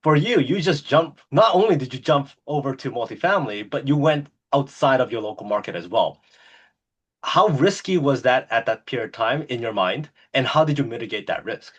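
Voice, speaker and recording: A man's voice: medium pitch, expressive, a little fast, husky, dull audio. A man hosting an interview podcast, introducing and questioning his guest with eager enthusiasm and plenty of "uh" fillers. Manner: enthusiastic, many "uh" fillers and restarts. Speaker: podcast host.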